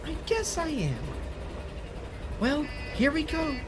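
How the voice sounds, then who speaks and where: A woman voicing a chipper, shady male character in a dark parody of a children's show, polite and singsong. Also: sits high, huge pitch swings, takes it slowly, heavy background noise, heavily acted. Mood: helpful. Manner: cheerful, polite, singsong kids' host delivery.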